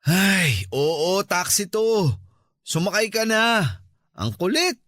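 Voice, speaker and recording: High-voiced, insistent lovesick man. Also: big pitch swings, slow pace, pushed and bright, dry recording, clean studio sound, full character voice. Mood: coaxing, insistent. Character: insistent, lovesick male friend pursuing married woman. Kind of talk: Filipino radio drama acting.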